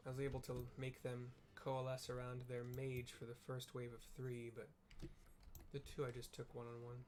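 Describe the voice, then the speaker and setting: Casting note: laid-back man, mid-pitched, rambling gamer talk full of fillers, home desk mic. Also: monotone, normal speed, a little mellow. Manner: rambling, filler-heavy, self-deprecating. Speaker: game streamer narrating for blind viewers. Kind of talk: casual gameplay chat and description.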